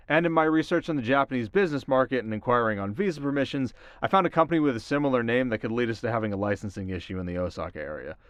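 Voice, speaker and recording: A man playing a cocky but nervous and eager teenage delinquent boy in an audio roleplay, talking in a casual, animated, earnest way. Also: medium pitch, dry recording, close to an everyday voice.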